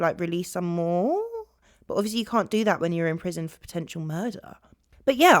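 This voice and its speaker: A British woman in her twenties hosting a podcast solo, rambling casually to her viewers in an animated, chatty way. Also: medium pitch, dry recording, clean studio sound. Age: 20s. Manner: chatty, animated, jumps between topics. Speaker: podcast host.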